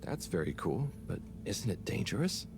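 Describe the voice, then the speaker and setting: An easygoing young assistant. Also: male voice, low voice, sing-song, moderate pace, a little mellow, a little hiss or hum. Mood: laid-back. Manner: laid-back, agreeable.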